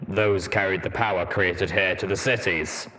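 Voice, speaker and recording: Man voicing a deep, rumbling armored war veteran. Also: fairly even intonation, normal speed, bright, forceful tone, rough recording. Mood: informative. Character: gruff armored male warhorse veteran, grave and blunt. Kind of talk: audiobook character dialogue.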